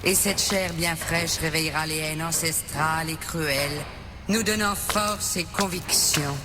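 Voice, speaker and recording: A woman's voice: a little high, lively intonation, measured pace. A woman playing a scornful, grandiose, fanatical ruler in a dubbed game cutscene. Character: haughty, fanatical female ruler obsessed with creation. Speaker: video game villain. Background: music.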